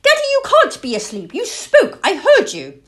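A woman voicing an indignant, excitable little boy barn owlet in a children's story, shrill and exclamatory. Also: normal speed, heavily acted. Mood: insistent.

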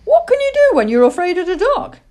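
A woman voicing an excitable, chatty young baby owl in an eager, childlike way for a storybook. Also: extremely high pitch, a little fast, pitched above the performer's own voice. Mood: anxious.

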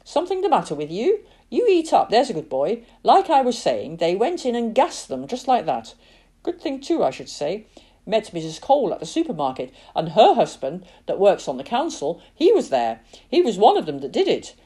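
A woman's voice: medium pitch, wildly swooping pitch, normal speed, warm tone, breathy edge, pitched above the performer's own voice. A woman voicing a gossipy, nagging middle-aged aunt who chatters on about hearsay and scolds a boy at the supper table.

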